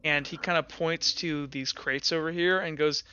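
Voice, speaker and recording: A man running a tabletop game, improvising narration and chatting casually in a halting way, often repeating words. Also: fairly high pitch, steady tone, pushed and bright, dull audio, a little hiss or hum. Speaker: game master.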